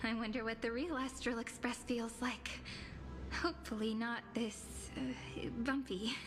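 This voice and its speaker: Resolute young female traveller for a game, actress: lightly high, slow-paced, calm. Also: music in the background, lightly acted. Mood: wry, resolute. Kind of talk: scripted video game drama.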